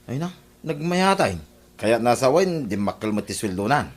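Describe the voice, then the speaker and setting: A man's voice: medium pitch, big pitch swings, moderate pace. A man playing a put-upon, complaining fish-vendor husband in an Ilocano comedy radio drama, with an Ilocano accent. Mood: teasing. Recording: faint market crowd behind.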